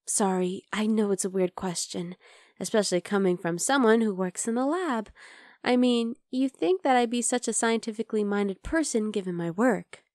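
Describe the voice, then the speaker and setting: A woman's voice: medium pitch, moderate pace, no room sound, clean studio sound, lightly acted. A woman playing an obsessive, chatty vampire lab worker in an ASMR roleplay, coaxing and teasing the listener. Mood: teasing, curious. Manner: conversational, teasing, coaxing, with soft asides.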